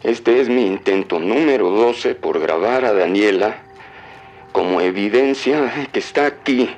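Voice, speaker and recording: An actor playing an obsessive father in an audio drama, speaking solemnly and deliberately as he records a summons. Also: on the low side, animated, darkish tone, faint music behind. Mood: solemn, intent. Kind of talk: audio drama acting, taped log.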